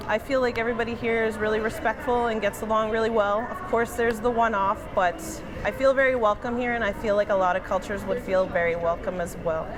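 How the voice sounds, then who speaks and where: A woman's voice: mid-range voice, noisy background. A Canadian woman in her twenties chatting cheerfully in a casual interview, with lots of fillers. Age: 20s.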